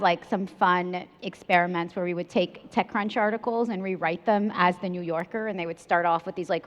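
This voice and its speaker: A woman in her thirties on a conference panel, talking fluently and casually with many "like" and "you know" fillers. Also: medium pitch. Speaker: startup CEO panelist. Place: large conference stage. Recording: reverberant hall, dull lo-fi sound.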